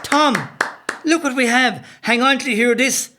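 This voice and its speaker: An actor playing a cheery, excitable rebel comrade in an audio play. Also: male voice, very high voice, normal speed, background noise. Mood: excited.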